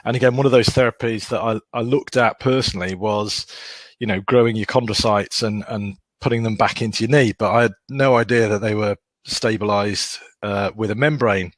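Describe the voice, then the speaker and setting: Co-host guy with a lowish voice asking his question, bit hesitant, dry close sound. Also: steady tone, fairly quick, dry recording. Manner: thoughtful, personal, hesitant. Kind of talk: conversational questioning.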